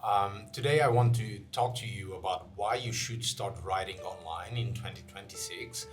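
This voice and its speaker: Young portuguese guy talking to camera, lowish voice, lots of ums and restarts, soft guitar behind. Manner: halting, many fillers and restarts. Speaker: channel host. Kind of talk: casual talk to camera. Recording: faint guitar music behind. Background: faint guitar music.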